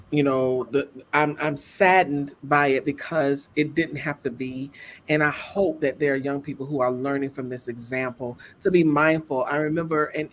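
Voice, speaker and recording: A woman with a deep, resonant voice co-hosting a casual talk show, reading news aloud and then talking it over earnestly, with many restarts. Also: slight background noise.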